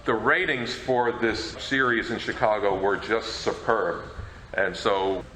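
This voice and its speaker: A man giving an informal talk as a presenter, in a halting, stammering manner. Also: lowish voice, bright, forceful tone. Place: presentation room at a convention. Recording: echoey room, faint noise.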